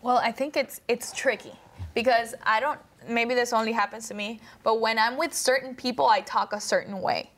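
A woman's voice: a little high, fairly even intonation, normal speed. A woman leading a conversational Bible study in an informal, questioning way. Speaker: discussion leader.

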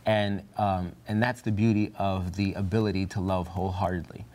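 A man's voice: low-pitched, little pitch movement, normal speed, a little mellow. A man speaking reflectively and earnestly as a panelist in a Bible study discussion.

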